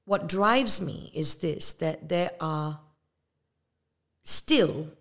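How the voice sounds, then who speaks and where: A woman's voice: lowish voice, lively intonation. A woman from Malaysia, an evangelist guest, speaking earnestly and reflectively about her faith in a conversational way. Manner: earnest and reflective, with pauses and fillers. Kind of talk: conversational testimony.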